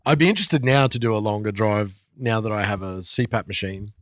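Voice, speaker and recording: A man chats as a podcast co-host. His voice sits a little low and is recorded dry, with little room around it. He speaks informally, pausing and piecing thoughts together as he goes. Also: dry recording. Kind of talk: casual podcast chat.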